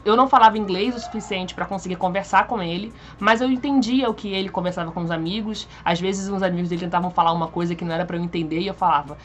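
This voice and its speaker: A woman's voice: lowish voice, fast talker, few pauses. A young woman, telling a personal story to camera in a chatty, informal way. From Brazil. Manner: chatty, informal, frequent fillers and restarts. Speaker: vlogger.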